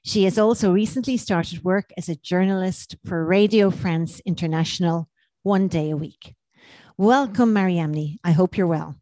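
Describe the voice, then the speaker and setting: A woman's voice: fairly low pitch, moderate pace, dark tone, no room sound. A woman hosting an interview podcast, asking encouraging questions and often using the guest's name. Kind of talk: hosted interview.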